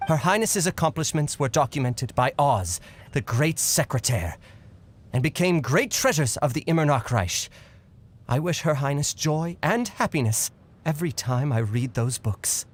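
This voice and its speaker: A man voicing a reverent, flattering subject of a fantasy kingdom in an anime game, speaking in florid, deferential phrases over music. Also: fairly high pitch, normal speed. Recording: music behind the voice.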